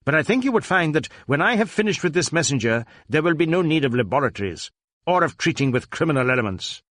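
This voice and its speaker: A man's voice: fairly high pitch, big pitch swings, fast talker, fairly bright tone, no room sound, pitched above the performer's own voice. A brusque police superintendent from a crime story, played by a male reader. He speaks, pouncing on words with scornful, pompous authority. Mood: dismissive. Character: brusque, scornful, domineering middle-aged Indian police superintendent.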